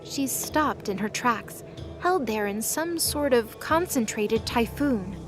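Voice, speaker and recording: A woman's voice: fairly high pitch, expressive, slow, music in the background. A woman reading dramatic scripted narration for a fantasy audio drama.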